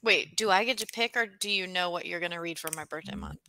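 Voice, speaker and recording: A woman's voice: fairly low pitch, quick pace, vocal fry, sharp tone. A woman chatting casually with a friend as a guest on a reading stream, playful and thinking aloud as she talks. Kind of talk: casual chat between friends.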